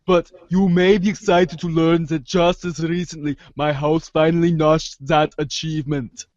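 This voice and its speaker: A man playing a dry, sardonic, articulate teenage girl with deadpan, formal delivery in an amateur readers' theater. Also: a little high, normal speed, no echo, highs cut off, close to an everyday voice.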